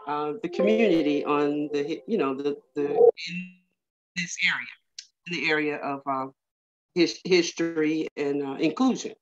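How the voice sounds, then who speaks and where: A woman speaking casually in a meeting over a video call, rambling and hesitant with many fillers. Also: deep voice. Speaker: community working group member. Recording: muffled lo-fi video call audio.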